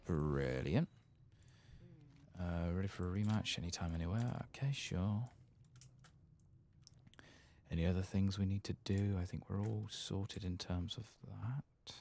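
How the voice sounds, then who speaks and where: A man doing a soft-spoken ASMR game playthrough, murmuring musing asides and sometimes dropping toward a whisper. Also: low voice, unhurried, vocal fry, pauses often, a little hiss or hum. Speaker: gaming ASMR host.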